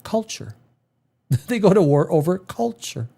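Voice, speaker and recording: A man's voice: high voice, very animated, a little slow, creaky, mellow. A man hosting a livestream chat, blunt and profane, hammering his points with repetition. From Canada. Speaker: livestream host.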